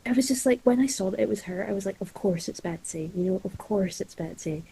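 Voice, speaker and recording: A woman's voice: medium pitch, brisk, gravelly, warm tone, slightly rough, low-quality recording. A nineteen-year-old Scottish woman chatting casually as a podcast co-host. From Scotland. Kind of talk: casual chat.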